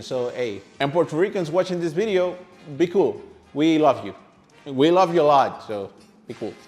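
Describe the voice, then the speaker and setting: Higher-voiced Venezuelan man teaching playfully. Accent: Venezuelan Spanish. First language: Spanish. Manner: playful and animated, with rhetorical questions and asides to the viewer. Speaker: language teacher, video host. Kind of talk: upbeat, conversational scripted lesson to camera.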